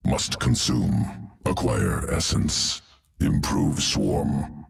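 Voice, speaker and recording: An inhuman alien creature from a game, cold and analytical. The voice is abysmally deep and croaking, doling out terse fragments slowly. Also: male voice, exaggerated voice. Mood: cold, clinical. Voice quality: guttural, gravelly. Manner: terse clipped phrases without articles, emotionless. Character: cold, clinical alien evolution creature obsessed with essence. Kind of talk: video game mission briefing.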